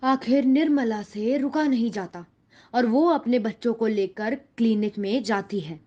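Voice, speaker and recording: A female storyteller reads a scripted tale for children. Her voice is moderately high, and the recording is a little muffled and lo-fi. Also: measured pace, a little mellow. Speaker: story narrator.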